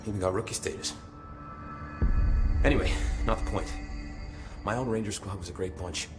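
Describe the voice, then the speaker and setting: A man's voice: lowish voice, normal speed, music in the background, close to an everyday voice. A man in his thirties voicing a friendly, candid security chief in a game. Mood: earnest. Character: earnest male security chief in uniform.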